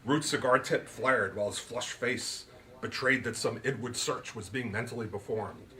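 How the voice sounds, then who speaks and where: A man reading comic fiction aloud as its author, with theatrical, expressive storytelling. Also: mid-range voice, moderate pace. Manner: theatrical storytelling, literary phrasing. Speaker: author reading his novel.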